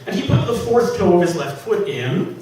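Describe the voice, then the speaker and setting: Man, somewhat high-pitched, author reading comic fiction, dramatic emphasis, heavy room echo, distant mic. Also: big pitch swings, normal speed, a little mellow. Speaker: author reading from own novel. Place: public reading at an indoor venue. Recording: echoey room from far off, faint noise.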